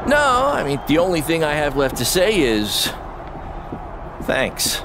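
A man playing a sincere, excitable wrestler friend giving encouragement in a video game. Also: fairly high pitch, slow, exaggerated voice. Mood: grateful. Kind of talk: video game story acting. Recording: noisy backstage room ambience.

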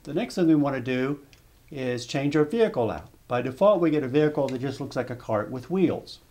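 A man narrating a step-by-step software tutorial over screen footage, explaining calmly with small restarts. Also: mid-range voice, brisk. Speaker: software demonstrator.

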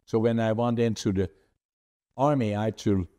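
A man's voice: on the low side, steady tone, warm tone. An older man from the Netherlands with a Dutch accent, English not his first language, telling his life story earnestly as a podcast host over a video call. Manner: earnest, deliberate, gestures with his hands. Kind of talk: conversational storytelling. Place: home office with framed certificates.

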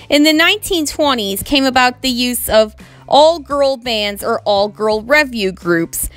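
A woman hosting an educational video, reading a scripted narration over slides in a measured, explanatory way, with asides to the viewer. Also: on the high side, a bit bright.

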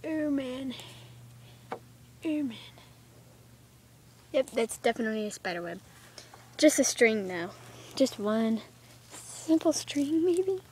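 Young girl voicing toy pets on a backyard playground; slow, high, animated delivery; phone-recorded with audible background noise. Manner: excited squeals, exaggerated character voices. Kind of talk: playful toy play acting. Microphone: handheld phone camera.

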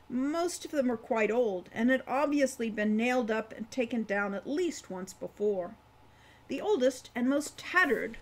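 A woman in her sixties reading her own fantasy novel aloud as story narration at home. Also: fairly high pitch. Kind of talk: audiobook-style story narration. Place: room at home, indoors.

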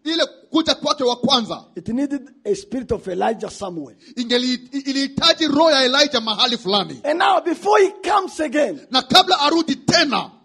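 A middle-aged man preaching emphatically into a handheld mic on a conference hall stage, pausing for an interpreter and calling for responses. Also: extremely high pitch, vocal fry. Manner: emphatic, rising to shouts, calls for responses. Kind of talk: shouted sermon with live interpretation. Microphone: handheld microphone.

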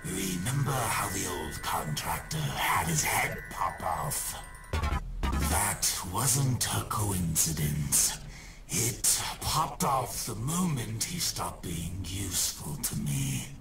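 A man's voice: deep voice, big pitch swings, slow, exaggerated voice. A man voicing a gleeful, theatrical shadowy ghost villain in a video game, mocking and menacing, with a taunting, hammy delivery that swings from mutters to shrieks. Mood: cold, menacing. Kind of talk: exaggerated cartoon video game villain acting. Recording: loud game music behind the voice. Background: loud video game music.

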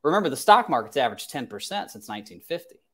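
A man's voice: medium pitch, big pitch swings, quick pace, sharp tone, husky. A man in his thirties hosting an advice livestream as a financial advisor, speaking emphatically and repeating phrases for stress. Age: 30s. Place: small indoor home office.

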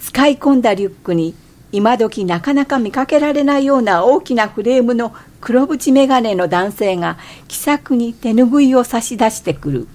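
An actress plays an irritable middle-aged woman out hiking in a radio drama. Her voice sits a little high and moves widely in pitch. She tells her inner thoughts in an expressive, theatrical way. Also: normal speed, lightly acted. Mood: observing. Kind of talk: Japanese radio drama acting and narration.

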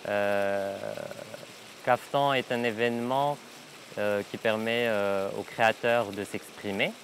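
A Moroccan man in his thirties speaks slowly and low, stopping often on long hesitant fillers. He is interviewed outdoors in a garden by a pool, with a faint hiss of noise under his voice. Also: fairly even intonation, fairly bright tone. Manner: hesitant, many drawn-out "euh" fillers. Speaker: fashion designer. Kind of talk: interview to camera.

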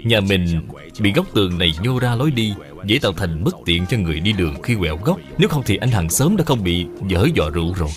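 A man voicing a kindly, wise father and dutiful official in a cartoon, measured and moralizing. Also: sits low, big pitch swings, music in the background. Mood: gentle, instructive. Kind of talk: cartoon dub acting.

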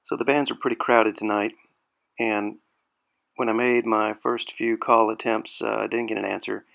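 A middle-aged man with a gravelly voice giving a casual, halting hands-on demonstration at an indoor desk. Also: fairly low pitch. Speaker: amateur radio hobbyist demonstrating gear. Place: small indoor radio desk. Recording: steady noisy background hiss and ambience.

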